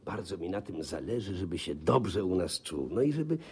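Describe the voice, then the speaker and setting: An actor in a 1950s Polish radio comedy playing a naive husband in awe of an old schoolmate, gushing, stammering and repeating himself. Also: male voice, sits low, very animated, normal speed, darkish tone, slightly rough, full character voice. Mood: earnest, anxious. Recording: slightly muffled 1950s radio play recording.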